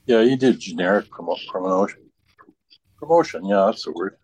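A man with a fairly low voice chats informally. He rambles through his thoughts in a halting manner, repeating words as he works them out. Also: lively intonation, darkish tone, slightly rough, poor audio. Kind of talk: casual chat, rambling anecdotes.

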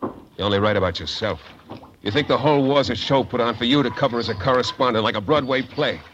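A man's voice: medium pitch, fast, a bit bright, natural voice. An actor playing a blunt, suspicious, combative working-class crewman in a 1940s radio drama. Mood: scornful. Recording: muffled, noisy old radio recording.

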